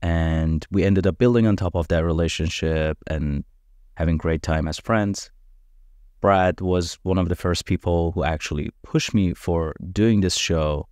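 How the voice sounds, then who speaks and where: A man whose first language is Farsi, hosting a podcast and giving an earnest, measured introduction. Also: deep voice, a little mellow, dry recording, studio quality. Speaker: podcast host.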